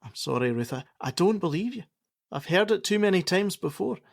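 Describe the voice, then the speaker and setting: A man's voice: sits high, animated, a little fast, creaky, no echo, clean studio sound, exaggerated voice. A middle-aged man playing a curt, exasperated social worker and father in a radio play, speaking bluntly and insistently. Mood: stern. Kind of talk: naturalistic radio play acting.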